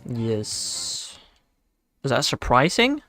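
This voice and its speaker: Young Dutch-accented male streamer, easygoing and slangy, mid-pitched voice, soft music underneath. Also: huge pitch swings, drawn-out words. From Netherlands. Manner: laid-back and slangy, talks to himself. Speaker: game streamer. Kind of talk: casual livestream chat, answering chat while playing.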